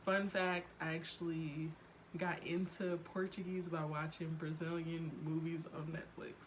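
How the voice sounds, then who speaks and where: Young woman in her twenties, casual vlog chat, lowish voice, faint hum behind. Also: little pitch movement. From Cleveland, Ohio. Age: 20s. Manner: chatty, lots of ums and likes, quick asides. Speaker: vlogger.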